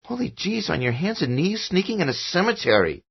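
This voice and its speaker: This is an excitable, cheeky little boy who chatters in a bouncy, exclamatory way, as a male narrator puts him on. The voice sits well above a man's usual pitch and is husky. The recording is dull and lo-fi. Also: brisk, full character voice. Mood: excited. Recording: dull lo-fi audio.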